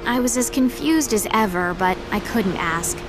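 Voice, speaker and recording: A woman's voice: medium pitch, expressive, normal speed, music in the background, close to an everyday voice, rough recording. An actress playing a blunt, sarcastic young woman who also narrates in an audio drama. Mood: reflective narration. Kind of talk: audio drama acting and first-person narration.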